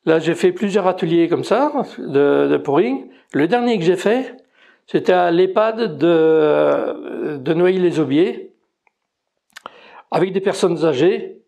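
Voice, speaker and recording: A man's voice: fairly high pitch, expressive, slow pace, creaky, mellow. A retired man in his sixties from Belgium with a Belgian French accent, talking about his painting in a hesitant way, with many fillers and restarts. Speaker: amateur painter. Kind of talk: casual interview.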